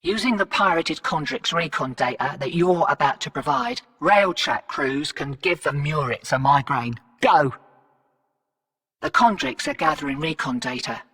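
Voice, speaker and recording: An actress playing a brash, sardonic British mercenary who gives cheeky, slangy, commanding mission orders in a video game, with distant, reverberant processing. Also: female voice, low voice, animated, a little slow, a bit bright, full character voice. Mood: businesslike, urging.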